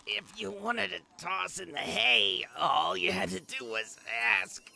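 A man's voice: sits high, animated, unhurried, gravelly, pushed and bright, exaggerated voice. A man voicing a small, cocky male fairy outlaw with a sly, mocking manner in an amateur fantasy audio drama.

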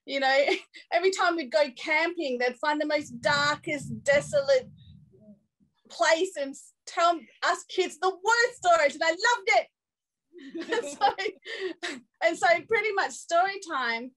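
A woman's voice: extremely high pitch, measured pace, a bit bright, poor audio. A woman, a filmmaker telling a story on a panel through a video call from home, often laughing. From Australia. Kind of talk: casual panel talk over video call. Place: room at home with curtains. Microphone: laptop webcam on video call.

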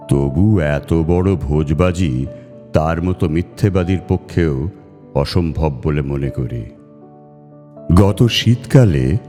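A man reading a literary story aloud in a measured, theatrical way, as a storyteller. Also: low voice, warm tone. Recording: long reverb over music. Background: music.

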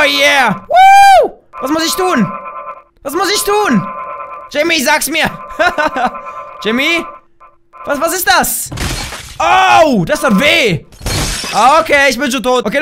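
A hoarse young man in his twenties, loudly and playfully commentating a game from a small room at home, talking into a desk mic while wearing a headset. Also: very high voice, noisy background. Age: young adult. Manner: loud, shouty, laughing, playful exclamations. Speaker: gaming YouTuber. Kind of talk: excited gameplay commentary.

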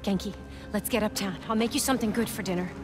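A woman's voice: medium pitch, fast, over music, natural voice. A middle-aged woman playing a caring, concerned mother in a video game. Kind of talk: video game cutscene acting.

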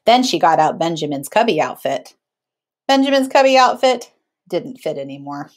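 A woman in her thirties reading a picture book aloud to children in an expressive, teacherly way. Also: low voice, moderate pace. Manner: teacherly, checks in with listeners.

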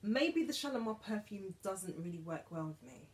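A British woman in her thirties chatting to camera in a beauty haul vlog, animated and dropping to whispers for asides, filmed in her dressing room. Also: mid-range voice, moderate pace. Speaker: beauty vlogger. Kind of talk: casual haul chat to camera. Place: bedroom dressing room with vanity, indoors.

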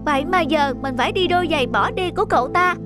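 An actor voicing a young schoolboy in a cartoon dub, scheming and petulant, with expressive delivery. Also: high voice, quick pace, close to an everyday voice. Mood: resentful. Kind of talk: cartoon dubbing. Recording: music behind the voice.

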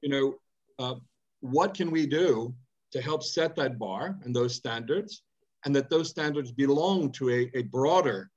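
A middle-aged man speaks deliberately and emphatically, often circling back over his words as he builds a point. His voice sits in a middle register. The sound comes over a video call and is somewhat dull and lo-fi, picked up by an earphone mic in a home office. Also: medium pitch, darkish tone. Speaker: audience member asking a question. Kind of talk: earnest spoken question on a video call.

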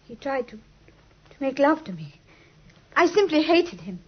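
A woman's voice: high-pitched, sing-song, measured pace. An actress playing a genteel, earnest young British lady client, speaking expressively in the manner of 1950s radio drama. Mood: indignant, earnest. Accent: British English. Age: young adult. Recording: muffled, lo-fi 1950s radio recording.

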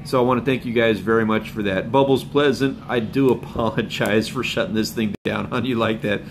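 Hoarse laid-back man on a stream. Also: lowish voice, fairly even intonation, a little fast, noisy background. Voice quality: rambling, laid-back, with chuckles and filler words. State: hoarse from a cold. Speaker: fishing channel host. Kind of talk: casual live stream chat. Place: home room with bookshelves and a window. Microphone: phone camera up close.

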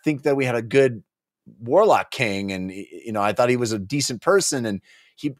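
A man in his forties co-hosts a casual book discussion. His voice sits at an average pitch and he makes his points emphatically, circling back for dramatic weight. The sound is dry and close, from a podcast mic in a small room. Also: moderate pace, dry recording. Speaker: book club podcast co-host. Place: small home office, indoors. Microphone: close podcast mic on boom arm.

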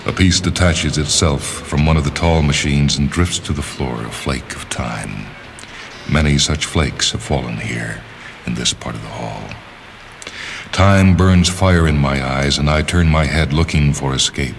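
Very deep-voiced man reading a story. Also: a little slow, noisy background. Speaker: story reader and narrator. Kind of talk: scripted narration of a literary short story.

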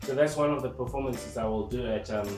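Artist from Zimbabwe, thirties, speaks thoughtfully to camera; roomy room sound, faint music underneath. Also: male voice, mid-range voice, a little fast, distant mic. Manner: calm, reflective, with many fillers and restarts. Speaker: performance artist. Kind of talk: talking to camera about his work. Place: indoor room with paintings on the wall.

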